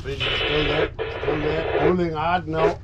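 An older man filming a fishing trip on an open boat, giving excited running commentary and coaching with repeated short calls. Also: on the high side, a little slow, muffled recording. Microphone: action camera on board. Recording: noisy boat and sea wind.

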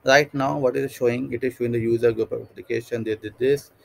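A man giving a screen-recorded software tutorial, thinking aloud step by step with many fillers and restarts. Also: mid-range voice, fairly even intonation, fast, vocal fry, a little hiss or hum. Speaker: tutorial instructor.

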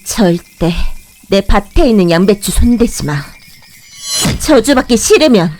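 A woman playing a brooding witch, narrating a dark fairy tale in a measured, ominous, matter-of-fact manner. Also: mid-range voice, animated, soft background music, natural voice. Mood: stern, threatening. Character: brooding witch who raises a child in hiding. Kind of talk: scripted first-person horror fairy-tale narration.

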